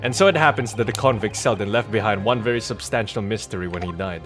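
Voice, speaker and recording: A man voicing an earnest defence lawyer from a game, reading the dialogue in a formal, measured, theatrical way. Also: on the low side, a bit bright, lightly acted. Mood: measured, deductive. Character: earnest male defence lawyer, methodical and accusing. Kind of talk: dramatic read-aloud of game dialogue. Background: game music.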